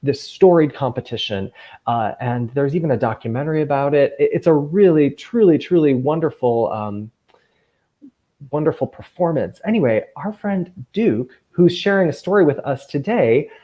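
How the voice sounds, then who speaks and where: A man working as a camp counselor and host, chatting to children in an animated, enthusiastic, gushing way. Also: on the high side, moderate pace. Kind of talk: lively chatty hosting for children.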